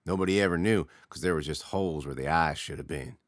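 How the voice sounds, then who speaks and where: A man voicing a teasing teenage older brother who tells spooky tales in a slow, low drawl. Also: dry recording, clean studio sound. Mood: ominous.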